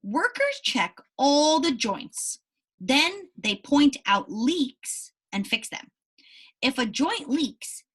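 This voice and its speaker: A woman reads to young pupils as their teacher, her voice bright and a little raspy. Her pitch swings in a lively way as she explains the text and poses simple questions to keep them following. The delivery is instructive and pitched somewhat high. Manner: instructive, checks understanding with "right?" and questions. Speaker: elementary school teacher. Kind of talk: reading a children's book aloud and explaining it to pupils.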